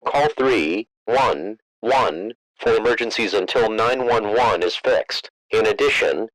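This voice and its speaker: A man's voice: on the low side, no room sound, close to an everyday voice, rough recording. A synthetic-sounding male voice playing an impassive emergency alert announcer, reading a scripted warning in a formal, impersonal way. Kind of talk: scripted emergency broadcast message.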